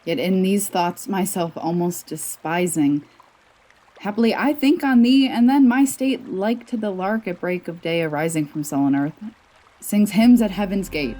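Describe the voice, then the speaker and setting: Woman acting lonely outcast, mellow soft-toned voice, sonnet monologue, audio drama, faint music. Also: mid-range voice, lightly acted. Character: lonely outcast consoled by love. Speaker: student drama performer.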